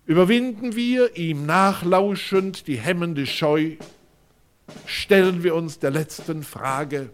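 An actor playing a solemn, pompous eulogist in an audio drama, speaking in grave, elevated, deliberate phrases. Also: male voice, fairly high pitch. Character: solemn, pompous male eulogist musing on the dead.

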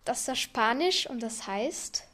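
A woman's voice: fairly high pitch, animated, slow, fairly bright tone. A young teenage girl hosting a language quiz for a school radio report, with a teasing quiz-host delivery. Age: young teen. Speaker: student quiz presenter.

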